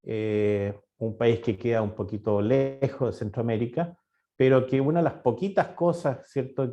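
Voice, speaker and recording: A man's voice: on the low side, mellow. A middle-aged man from Chile giving a webinar talk as a university director, hesitant, with many fillers and restarts. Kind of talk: webinar presentation. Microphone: video call. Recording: dull lo-fi online call audio.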